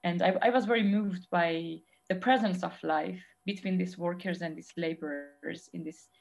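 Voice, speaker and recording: Thoughtful Iranian woman filmmaker, low voice. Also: steady tone, vocal fry. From Iran. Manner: thoughtful, measured, frequent pauses and restarts. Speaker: documentary filmmaker guest. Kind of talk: reflective interview answers.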